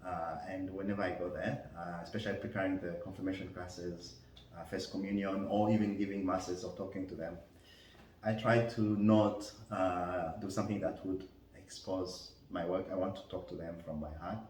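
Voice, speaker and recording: A deep-voiced man, a parish priest whose first language is French, answering in a careful, halting way with lots of fillers. He sits away from the mic in a roomy, echoing space with faint noise behind him. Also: steady tone, a little mellow, far from the mic. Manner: thoughtful, hesitant, many fillers and restarts. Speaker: parish priest and school chaplain. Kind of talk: interview answers.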